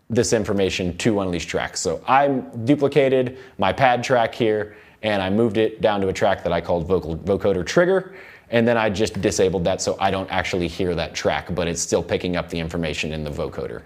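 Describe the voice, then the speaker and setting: An American man with a low-pitched voice teaches audio production in a recording studio. He explains each step casually and informally, often thinking aloud as he works through the software. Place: recording studio control room.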